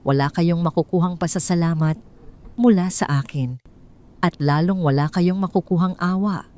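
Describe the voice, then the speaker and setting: Woman narrator, low voice, scripted drama reading, measured and icy. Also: dark tone. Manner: measured, bitter and cold. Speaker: storyteller.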